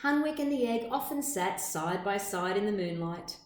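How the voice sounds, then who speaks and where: A woman reading a children's storybook aloud like a teacher, with measured, expressive, dramatic phrasing. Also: mid-range voice, a little hiss or hum. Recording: echoey room sound.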